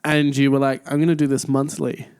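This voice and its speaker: A man's voice: medium pitch, level tone, brisk, a little mellow, smooth. A man in his twenties with an Australian accent hosting a casual podcast interview, playful, swearing loosely and laughing a lot. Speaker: podcast host.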